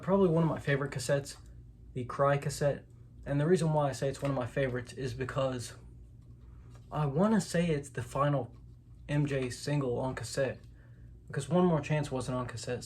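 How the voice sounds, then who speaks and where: A man's voice: mid-range voice, moderate pace, darkish tone. A man hosting an informal, unscripted show-and-tell of a collection, hedging and correcting himself as he goes. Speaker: host, collector showing their collection. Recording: clear background noise with clicks and clatter.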